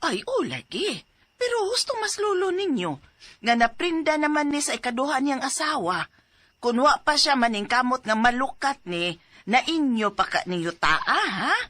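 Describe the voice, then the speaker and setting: A woman playing an assertive aunt who gives forceful advice in an audio drama. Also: high-pitched, very animated, moderate pace, dry recording, heavily acted. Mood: urging. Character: assertive aunt urging her nephew to fight.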